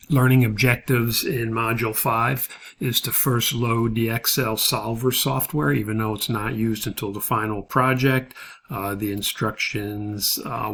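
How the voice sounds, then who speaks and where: A man with a lowish voice and a very flat delivery explains coursework like a college instructor. He is methodical and matter-of-fact. There is faint-to-moderate noise behind him. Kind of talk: informal lecture explaining assignment steps.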